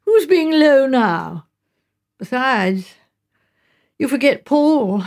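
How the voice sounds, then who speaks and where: Lively, teasing young sister, as a woman narrator plays her. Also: sits high, drawn-out words, pitched above the performer's own voice, heavily acted. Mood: teasing, coy.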